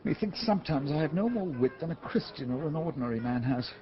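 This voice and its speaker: Actor as foolish, bumbling, high-voiced gentleman knight. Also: lively intonation, fast, highs cut off, over music, close to an everyday voice. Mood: glum. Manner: hesitant, bumbling, earnest. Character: foolish, vain, timid gentleman knight, slow-witted and eager. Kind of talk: Shakespearean radio drama acting.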